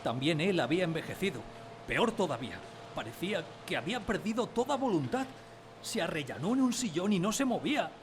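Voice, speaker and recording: A man's voice: sits high, sing-song, brisk, slight background noise. An actor narrating a radio drama as a man recalling his village childhood, wistfully, in a literary style. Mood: somber. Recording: music behind the voice.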